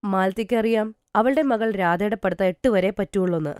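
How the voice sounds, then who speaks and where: A female storyteller narrates a cartoon tale from a script. Her pitch is average for a woman, and the sound is dry without room echo. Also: moderate pace, a little mellow.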